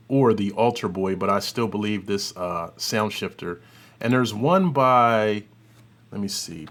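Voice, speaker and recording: A man hosting an audio software tutorial, giving an informal, unscripted demonstration and thinking aloud as he works. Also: fairly low pitch. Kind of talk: informal demonstration and review commentary.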